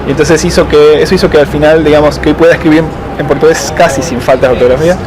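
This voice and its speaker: A man, answering interview questions slowly, with frequent fillers and pauses. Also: on the high side, fairly even intonation. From Argentina. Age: 30s. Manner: thoughtful, hesitant, many fillers and slow pauses. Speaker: interviewee, doctoral student. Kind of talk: casual interview. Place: outdoors in a city. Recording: outdoor street noise.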